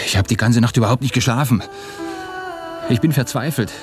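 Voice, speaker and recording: A man acting in a German radio drama as an ashamed, despairing Russian revolutionary, halting and confessional, often hushed. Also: mid-range voice, lightly acted. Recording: studio radio play with music behind.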